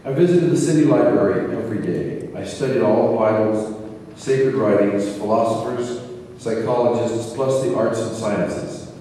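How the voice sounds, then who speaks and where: A man's voice: on the low side, moderate pace, warm tone, natural voice. A man playing a genial, inquisitive elderly spiritual teacher in an amateur radio play. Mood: reflective, assured. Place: large reverberant hall. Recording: very echoey hall, distant mic, faint noise.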